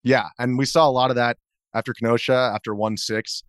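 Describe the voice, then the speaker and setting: A man's voice: mid-range voice, flat delivery, a little fast, clean tone, no room sound, clean studio sound. An American man, an interview guest and journalist, recounting his experiences in a casual conversational style.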